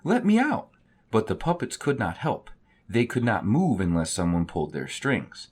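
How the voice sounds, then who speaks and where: Male storybook narrator: low, husky, animated children's-tale delivery. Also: normal speed. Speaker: storybook reader.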